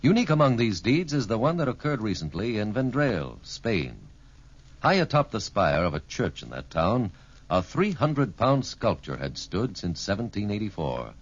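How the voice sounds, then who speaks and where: A man's voice: lowish voice, sing-song, moderate pace, husky. A man reading a scripted public service message as a radio announcer, in an earnest, solemn oratorical manner. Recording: dull vintage radio recording.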